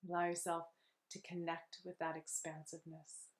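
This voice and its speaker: A woman guides a meditation with a somewhat low voice and very level intonation. Her delivery is soothing and unhurried, offering gentle instructions to the listener. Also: completely flat delivery.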